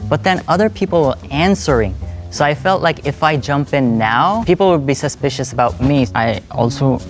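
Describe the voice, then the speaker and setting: A man raised in India who works as a content creator, speaking calmly in casual confessional asides. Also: a little high, moderate pace, faint music behind. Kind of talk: casual game-show talk and confessional asides.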